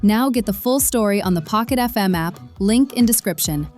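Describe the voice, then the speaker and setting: A woman's voice: mid-range voice, expressive, fairly quick, darkish tone. A woman reading a scripted advertisement as a promo announcer. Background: music.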